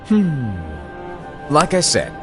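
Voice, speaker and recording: A man's voice: a little high, sing-song, extremely slow, over music, heavily acted. A man playing a breezy, playful master handing out orders in a video game cutscene, casual and flippant.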